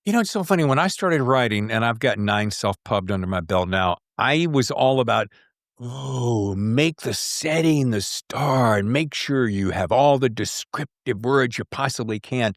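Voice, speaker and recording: A man hosting a casual interview, talking in an excitable, jokey, digressive way as a fellow writer. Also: medium pitch, moderate pace, no echo, clean studio sound. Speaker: podcast host and writer.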